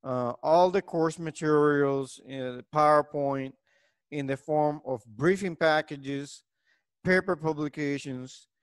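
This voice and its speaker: A middle-aged man from Puerto Rico, a native Spanish speaker with a Puerto Rican accent, lecturing on engineering in a halting way with frequent pauses. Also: fairly high pitch, clear, resonant tone, dry recording, clean studio sound.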